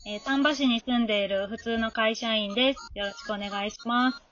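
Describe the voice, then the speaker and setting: High-voiced woman, polite and casual. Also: steady tone, a little fast, a bit bright, distant mic, dull audio. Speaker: amateur drama group member. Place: at home, remote recording.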